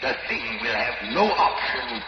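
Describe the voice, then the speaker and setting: An actor playing a domineering, scheming middle-aged villain who controls puppets, in a theatrical radio drama style. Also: male voice, medium pitch, fairly even intonation, measured pace, close to an everyday voice. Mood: sinister scheming. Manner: theatrical, menacing, commanding. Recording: noisy, muffled old radio broadcast.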